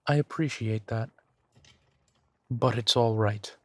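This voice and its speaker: A man playing a courteous, gently protective vampire gentleman in an intimate roleplay audio drama, with formal, measured phrasing. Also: on the low side, dry recording. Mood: apologetic, courteous. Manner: formal, polite, measured phrasing with soft sighs.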